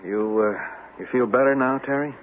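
An actor playing a skeptical, excitable friend who stammers and repeats himself, in an old-time radio mystery on a hissy, narrow-band recording. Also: male voice, mid-range voice, close to an everyday voice. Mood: concerned. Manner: halting, stammering, repeating words. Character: skeptical, excitable man, loyal old buddy.

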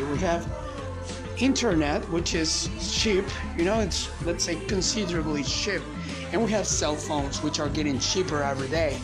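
A man whose first language is Spanish, giving a rambling, motivational solo podcast monologue in casual chat. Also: a little high.

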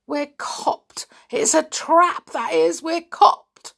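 A woman's voice: high-pitched, slow, gravelly, fairly bright tone, in a whisper, voice pushed up, heavily acted. A woman voicing a cowardly male crook in a children's storybook, squealing with alarm. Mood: panicked. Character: shifty, cowardly crook father, easily alarmed.

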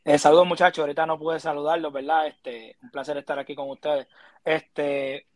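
A man giving baseball analysis, measured and filling pauses with "este.". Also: on the high side, level tone, darkish tone. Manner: measured, filler "este" and "verdad". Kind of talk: casual sports talk.

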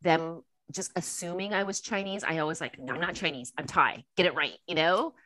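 A woman with a Californian American accent chatting casually as a podcast guest, telling personal stories and acting out bits of dialogue in an animated way. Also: on the low side, brisk, dry recording. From Los Angeles, USA. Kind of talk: casual chat, personal storytelling.

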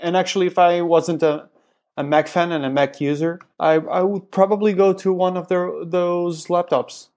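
A man's voice: high voice, fairly even intonation, moderate pace, a little mellow. A man co-hosting a casual tech podcast, hesitant and often repeating his words. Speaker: podcast co-host.

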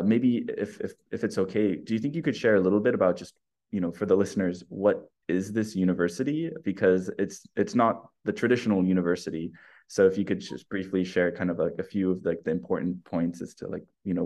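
A man with a very low voice hosts a podcast in a relaxed, chatty interview style. He rambles a little, leaning on fillers and restarts as he builds up his questions. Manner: friendly, rambling with many fillers. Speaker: podcast host, researcher and educator.

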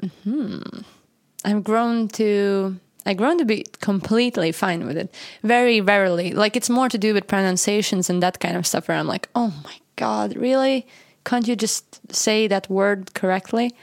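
A young woman from Latvia, native in Latvian, chats her way through a podcast with quick laughs and self-mocking asides. Her voice sits at a middle pitch. The sound is dry, with no room around it. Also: no room sound. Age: young adult. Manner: chatty, laughs often, self-deprecating. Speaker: podcast co-host. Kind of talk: casual podcast chat.

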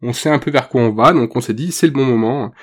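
A man's voice: mid-range voice, fast. A man co-hosting a podcast in a casual chat, talking through a stuffy nose from a cold, hesitant with many fillers. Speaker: podcast co-host.

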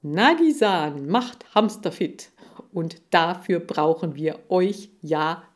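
A woman voicing a pompous, boastful male television salesman in a children's story, with a drawn-out showman's delivery. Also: on the low side, expressive. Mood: pompous, promotional.

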